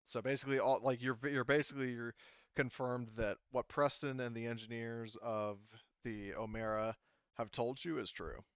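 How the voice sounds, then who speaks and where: Male GM, tabletop rpg, hesitant rambling explainer, smooth clean voice, dry muffled mic. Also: medium pitch, fairly even intonation, normal speed, no room sound. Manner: rambling, many fillers and restarts. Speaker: game master. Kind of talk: running a tabletop roleplaying game.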